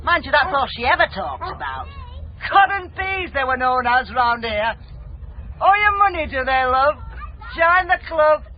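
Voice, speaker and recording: A Northern English man playing a sardonic working-class neighbour in a radio drama, loud, blunt and gossipy. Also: extremely high pitch, lively intonation, measured pace, a faint crowd. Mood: scornful gossip. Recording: dull, noisy outdoor scene, barking dog.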